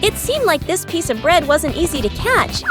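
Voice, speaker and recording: A very high, childlike voice narrating a children's story. The delivery swings up and down in a lively way, and music plays prominently behind it. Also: moderate pace.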